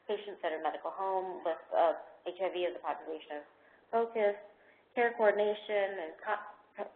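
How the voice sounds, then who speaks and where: Low-pitched woman on an echoey phone line. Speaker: program manager presenting. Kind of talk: prepared presentation with informal asides. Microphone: telephone conference call. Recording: roomy, tinny phone conference line.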